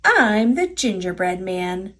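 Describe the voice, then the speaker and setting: A woman's voice: mid-range voice, very animated, slow, fairly bright tone, voice pushed down, exaggerated voice. An American woman voicing a cocky, playful little gingerbread man from a storybook, chanting boastful taunts in a sing-song manner.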